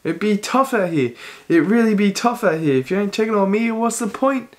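A man hosting a music reaction video in a relaxed chatty way, a little hoarse from a cold and coughing now and then. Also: sits high, a little fast. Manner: chatty, talks over the song with short reactions. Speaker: reaction channel host. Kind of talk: casual music reaction chat.

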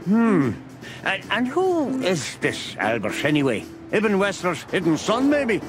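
An actor playing a folksy, sly old beaver shopkeeper in a film noir game, with a teasing manner. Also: male voice, high voice, huge pitch swings, measured pace, full character voice. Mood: cagey, cautioning. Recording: music behind the voice.

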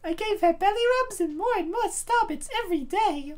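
A woman voicing a gentle motherly princess who tenderly narrates her story in a comic dub. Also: extremely high pitch, expressive, fairly quick. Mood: soft, fond.

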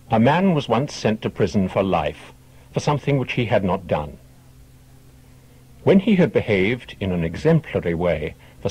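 A man with a husky voice reading short teaching tales as scripted audiobook narration. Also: fairly low pitch, darkish tone, poor audio.

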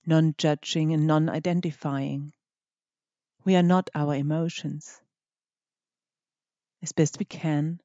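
A meditation guide speaking scripted instructions in a gentle, measured manner with deliberate pauses. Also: medium pitch, no echo, muffled recording.

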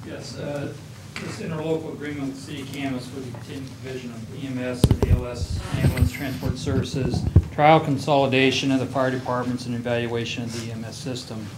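A man's voice: medium pitch, little pitch movement, fast. A fire chief reads out his report to the council in a formal, read-from-notes delivery. His voice carries the roomy echo of the chamber, with faint noise underneath. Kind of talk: reading a staff report.

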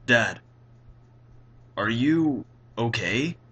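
A man's voice: mid-range voice, animated, drawn-out words, a bit bright, slightly rough, heavily acted. A man voicing a concerned father, earnest and anxious, in a narrated story. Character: concerned father, firm but gentle, anxious.

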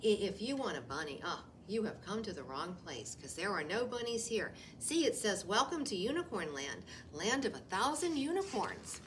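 A woman's voice: medium pitch, big pitch swings, normal speed, hardly any pauses, natural voice. A woman voicing a nervous, fibbing little rabbit in a children's picture book, stammering and insisting with flustered emphasis. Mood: nervous denial. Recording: distant mic, faint room noise.

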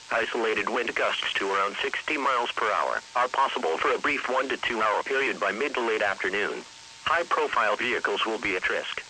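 A computer-generated man's voice delivers a formal weather bulletin. The tone is very bright and sharp, at a medium pitch. It comes over a radio broadcast with a faint hiss behind it. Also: poor audio. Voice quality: synthetic text-to-speech voice. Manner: formal, impersonal announcing. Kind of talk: scripted weather bulletin reading.

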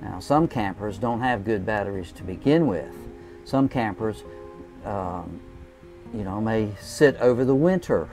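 A man's voice: lowish voice, a little mellow. An older man, an RV travel vlogger, giving plain, folksy how-to tips to camera from inside a camping trailer on a lapel mic. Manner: plain, folksy, unhurried with frequent "uh" fillers. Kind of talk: casual how-to talk to camera. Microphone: clip-on lapel mic.

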